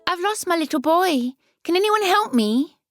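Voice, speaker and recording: A woman's voice: expressive, moderate pace, over music. A woman reading an audiobook, voicing a frantic young mother who is pleading for help finding her lost baby. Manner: pleading, high and strained. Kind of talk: audiobook character voice.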